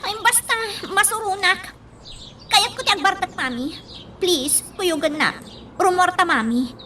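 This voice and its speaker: An actress with a Filipino accent playing a spoiled, petulant young daughter in a radio drama. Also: female voice, extremely high pitch, a little slow, very noisy. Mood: pleading.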